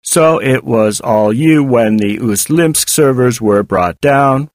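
A man voicing a malicious, taunting female robot in an audiobook, with a hard, artificial voice and drawn-out, mock-sweet delivery. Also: medium pitch, dry recording, clean studio sound, lightly acted. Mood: probing, smug. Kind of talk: audiobook character voice.

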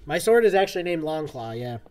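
A man's voice: fairly high pitch, very animated, fast talker, darkish tone. A man in his twenties chatting casually on a game livestream and reading out viewer comments. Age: 20s. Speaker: streamer host. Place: small room indoors at a desk.

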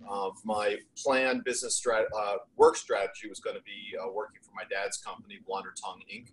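A middle-aged American man from New Jersey presenting informally on a webinar in halting, measured phrases. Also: lowish voice, little pitch movement, low-quality recording. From New Jersey, United States. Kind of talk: informal self-introduction on a webinar. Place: indoors on a video call.

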